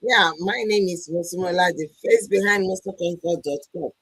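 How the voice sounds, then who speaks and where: A woman from Nigeria co-hosting a live-stream chat, unhurried and musing with "hmm" pauses. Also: on the low side, fairly bright tone, husky. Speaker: co-host.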